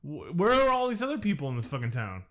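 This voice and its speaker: A man's voice: on the high side, wildly swooping pitch, quick pace, a bit bright. A man co-hosting a casual podcast, joking and mocking the film, often laughing along. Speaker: podcast co-host. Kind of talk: casual film review chat.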